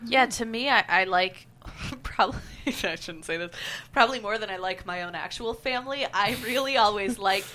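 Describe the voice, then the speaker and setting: A woman speaks in a casual group discussion with a bright, forward voice at a medium pitch. Her delivery is halting and giggly, with faint noise behind her. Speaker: table-read panelist.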